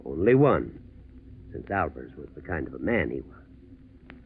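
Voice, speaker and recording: An actor playing a stern, unyielding American prosecutor in a 1940s radio drama, speaking in a firm, accusing, deliberate manner. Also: male voice, rumbling low, big pitch swings, gravelly, heavily acted. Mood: accusing, skeptical. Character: stern, unyielding male prosecutor pressing a witness. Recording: tinny, faintly noisy 1940s radio recording.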